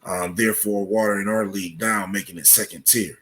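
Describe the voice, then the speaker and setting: A man speaking as a podcast guest, explaining at length in a halting, deliberate way with many filled pauses. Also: lowish voice, steady tone, fairly bright tone. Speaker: podcast guest, soccer coach. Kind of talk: conversational interview, explaining at length.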